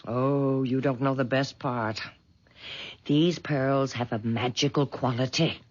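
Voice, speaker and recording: An actress playing a frail, superstitious old lady in a radio drama, with a hesitant, coaxing manner and a husky, quavering voice. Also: very deep voice, steady tone, slow pace, a little mellow, heavily acted. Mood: fond, excited. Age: older. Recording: dull vintage radio recording.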